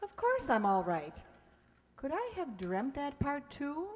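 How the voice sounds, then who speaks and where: A woman plays a wry, lovelorn lady schoolteacher in old radio sitcom style. Her voice is high and theatrical, carried in a long hall reverb. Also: huge pitch swings, takes it slowly, heavily acted. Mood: bewildered.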